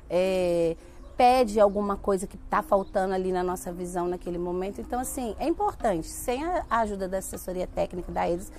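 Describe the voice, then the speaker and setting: A woman's voice: mid-range voice, darkish tone, a little hiss or hum. A Brazilian woman speaking as a community member in an interview. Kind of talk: interview testimony.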